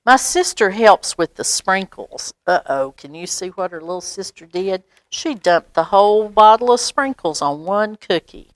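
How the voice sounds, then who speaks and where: A woman's voice: medium pitch, expressive, unhurried, hardly any pauses, no echo. An American woman reading a children's picture book aloud, drawing words out expressively and adding little explanatory asides for young listeners. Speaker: library storytime reader.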